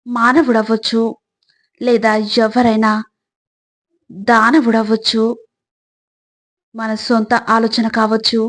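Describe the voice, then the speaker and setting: Slow, even-toned woman narrating reflections. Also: fairly high pitch. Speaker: narrator of motivational quotes. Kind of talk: scripted motivational narration.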